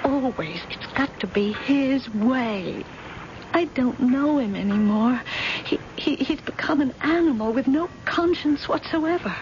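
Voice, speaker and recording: Anxious high-voiced wife, noisy radio drama. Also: female voice, animated, unhurried. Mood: anguished, bitter. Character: frightened, unhappily married wealthy woman meeting her secret lover. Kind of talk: old-fashioned radio drama acting. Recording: dull, noisy old radio broadcast recording.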